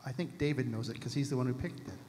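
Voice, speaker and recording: A man introducing a song to a church congregation in a quick, chatty way with many ums. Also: mid-range voice, in a large hall, a little hiss or hum. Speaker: worship leader. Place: church stage, large indoor sanctuary. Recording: band music loud, voice distant.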